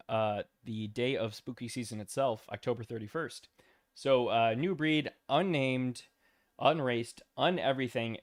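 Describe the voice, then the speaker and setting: A man hosting a casual livestream, tired and losing his voice, talking loosely with many fillers and greeting viewers by name. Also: mid-range voice. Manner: loose and rambling, many ums and uhs, greets chat by name. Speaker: livestream host.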